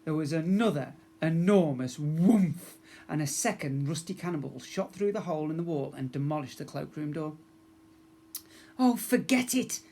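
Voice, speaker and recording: A man's voice: on the high side, moderate pace, dark tone. A man narrating a children's story expressively, as a teacher reading to young pupils.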